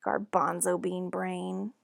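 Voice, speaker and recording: A woman's voice: on the low side, little pitch movement, slow, creaky, exaggerated voice. A woman voicing a teasing, grumbling young boy, the older brother in a storybook, blunt and taunting. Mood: scornful.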